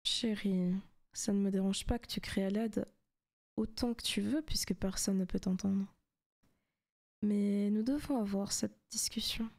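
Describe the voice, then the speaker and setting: A woman playing a seductive, possessive demon in a soft, teasing ASMR roleplay, often slipping into whispers. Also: medium pitch, steady tone, a little slow, close to an everyday voice. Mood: possessive, sweet. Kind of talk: ASMR roleplay, intimate scripted monologue.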